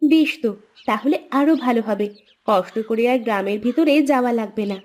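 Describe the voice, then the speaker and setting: Woman acting a high-voiced practical village housewife. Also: fairly quick, a little mellow, close to an everyday voice. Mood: brisk. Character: practical, encouraging village housewife and sister-in-law. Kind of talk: cartoon moral story dialogue.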